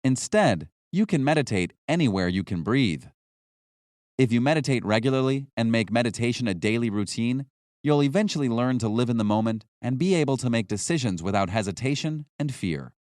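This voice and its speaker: A man reading a nonfiction audiobook as narrator, in a measured, instructional way with clear phrasing. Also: medium pitch, lively intonation, a little mellow, no echo, clean studio sound.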